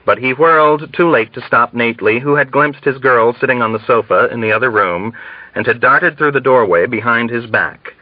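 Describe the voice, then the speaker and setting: A man's voice: medium pitch, normal speed, no room sound. A man reading a novel aloud as scripted audiobook narration. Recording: dull, dry, band-limited audio.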